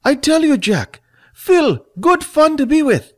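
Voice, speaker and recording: A man voicing Fat, a small, tipsy, giddy male creature with a high, slurred voice. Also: sing-song, takes it slowly, exaggerated voice.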